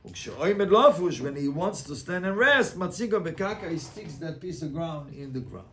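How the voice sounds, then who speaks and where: A man teaching an interactive Talmud class as a rabbi, reading the text and translating it phrase by phrase with animated repetition and rhetorical questions. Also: on the high side, fast talker, a little hiss or hum.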